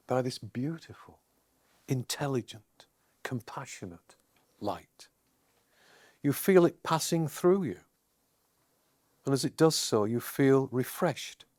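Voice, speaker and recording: Male meditation guide: unhurried, soothing, deliberate pauses, dry close sound. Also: mid-range voice, darkish tone, no echo.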